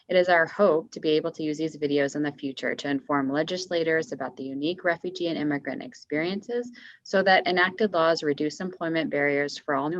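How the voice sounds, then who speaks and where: A woman's voice: low-pitched, no echo. A woman giving a scripted presentation as a project co-manager. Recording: dull, dry online meeting audio.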